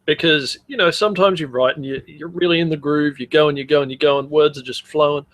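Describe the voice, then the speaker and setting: An Australian man with a slightly high voice chats casually as a podcast co-host. He is blunt and jokey, and he swears freely. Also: fairly even intonation, fast, a bit bright. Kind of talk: casual chat.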